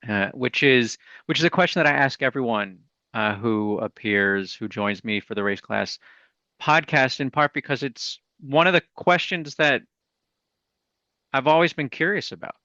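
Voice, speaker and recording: A man's voice: medium pitch, normal speed, pushed and bright, dry recording. A man hosting a podcast, asking an earnest, open-ended interview question in a conversational way. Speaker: podcast host. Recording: dry, dull lo-fi audio.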